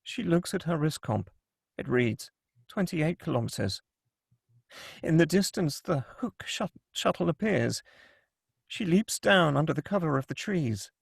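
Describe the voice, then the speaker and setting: Gravelly male narrator, higher-pitched voice. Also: lively intonation, unhurried, no echo, clean studio sound. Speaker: narrator reading stage directions. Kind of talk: dramatic scripted narration at a script table read.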